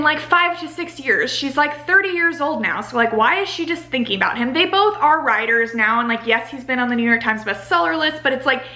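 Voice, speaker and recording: A young American woman giving a casual, chatty book review in an animated, exasperated ranting manner, saying "like" a lot. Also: high-pitched. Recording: roomy home echo.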